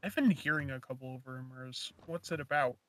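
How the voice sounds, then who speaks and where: A man's voice: medium pitch, a little fast, a bit bright, close to an everyday voice. A man playing an easygoing, eager young fire mage in a casual, chatty fantasy game roleplay.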